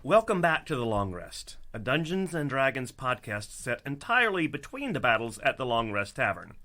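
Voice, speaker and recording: A man's voice: on the high side, bright, forceful tone. A man hosting as dungeon master, narrating a scripted fantasy comedy in a theatrical storytelling style.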